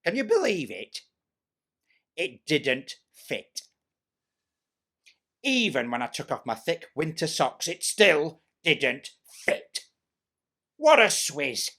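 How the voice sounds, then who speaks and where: A man's voice: on the high side, very animated, takes it slowly, pauses often, bright, forceful tone, voice pushed up, heavily acted. A man voicing a vain, jealous pantomime step-sister with a British accent, telling a story theatrically with snide, self-important, chatty asides. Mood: boastful, then indignant.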